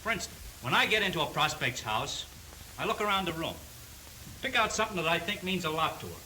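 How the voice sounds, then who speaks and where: A confident, folksy salesman from a 1930s training film. His voice is fairly high for a man and swings in pitch as he spins slangy advice. The soundtrack has a faint vintage hiss. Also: big pitch swings, fairly quick, bright, forceful tone, exaggerated voice, low-quality recording. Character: folksy, self-confident older refrigerator salesman, kidded by colleagues.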